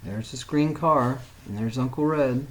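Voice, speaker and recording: A man reading a picture book aloud to young children like a teacher, in an expressive style. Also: medium pitch, a little slow, a little hiss or hum, poor audio. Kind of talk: expressive storybook read-aloud.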